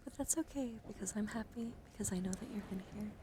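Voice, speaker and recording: A woman doing an ASMR livestream, chatting softly to viewers and often slipping into breathy whispers, repeating words soothingly. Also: medium pitch, fast, heavy background noise. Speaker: streamer.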